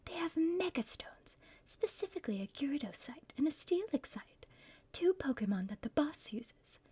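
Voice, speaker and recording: A woman's voice: sits high, big pitch swings, normal speed, mellow, no room sound. A woman playing a bossy, scheming female villain in a whispered ASMR roleplay, impatient and conspiratorial with her partner. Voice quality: breathy whisper.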